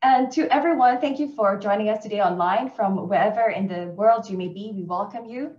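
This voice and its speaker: A woman hosting a webinar over a video call, bright in manner and laughing easily. Her voice sits in the middle range. The sound is roomy and a little dull, as if she talks in an echoey room. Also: medium pitch, fairly quick. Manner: upbeat, laughs often. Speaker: webinar moderator. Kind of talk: online panel hosting.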